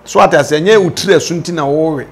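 High-pitched, emphatic Ghanaian man. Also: rapid-fire, gravelly. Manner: emphatic, questioning, repeats phrases. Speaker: political commentator. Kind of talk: animated political discussion, switching between languages. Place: indoor studio set.

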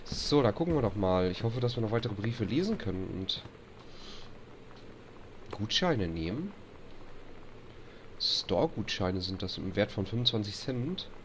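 A young man with a stuffy, allergy-blocked nose talks over gameplay, his voice sitting low. He reads game text aloud and grumbles when things break, with a faint hum behind him. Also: big pitch swings, measured pace, long pauses. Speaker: let's play host. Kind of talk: casual gameplay commentary.

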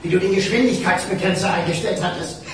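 A man acts a mocking, grumbling lift mechanic in a radio play. His high voice rings heavily, some way off from the mic. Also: fast talker, natural voice. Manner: teasing, grumbling. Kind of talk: German radio crime drama acting. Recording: very echoey shaft, distant, faint noise.

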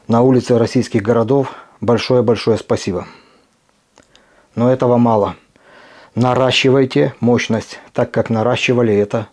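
A middle-aged man from Ukraine speaks straight to his viewers in a mellow, darker voice at an ordinary pitch. His delivery is earnest and emphatic, and he leans on deliberate pauses. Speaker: video blogger. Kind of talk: impassioned direct address to camera.

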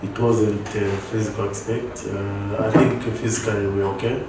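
A low-pitched man speaking as a football coach at a press conference, measured and hesitant. His delivery stays flat and even. The room echoes heavily and he sounds set back from the mic. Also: recorded from a distance, music in the background. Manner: measured, hesitant, many "uh" fillers. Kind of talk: post-match press conference. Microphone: headset mic.

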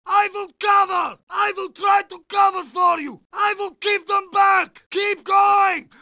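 Shouting, gravelly Russian soldier. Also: male voice, very high voice, no echo, clean studio sound, heavily acted. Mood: urgent, concerned. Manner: shouting short urgent battlefield calls. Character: young Russian soldier, urgent, earnest comrade in battle. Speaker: voice actor. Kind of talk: video game battle barks.

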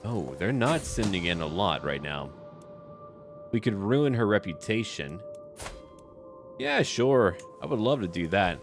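A man's voice: on the low side, big pitch swings, measured pace, long pauses. A man giving casual game commentary with light role-play, musing aloud in short, clipped remarks. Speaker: gaming YouTuber. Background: background music.

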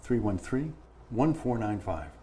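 Fifties guy explaining his painting work, low mellow voice, lapel mic, faint studio hum. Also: sing-song, measured pace. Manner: plain-spoken, practical, explains with hand gestures. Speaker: painting contractor guest. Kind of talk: casual studio interview. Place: bright studio set at a table.

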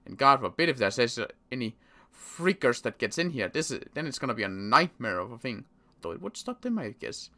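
A man playing a worried zombie apocalypse survivor in an improvised game roleplay, muttering to himself and repeating words haltingly. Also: medium pitch, very animated, sharp tone.

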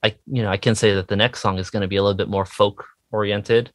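Low-voiced man chatting, hesitant and self-deprecating. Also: steady tone, fast, dry recording, clean studio sound. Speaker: musician guest, indie rock songwriter. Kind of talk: casual interview chat.

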